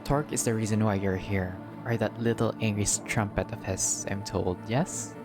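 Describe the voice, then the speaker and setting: A man acts out a menacing male crime boss in a story game. The voice is on the deep side, smug and domineering, wrapping violent threats in mock courtesy. Music plays clearly underneath. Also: fairly even intonation, normal speed, natural voice. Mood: seething threat. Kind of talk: visual novel villain dialogue read aloud.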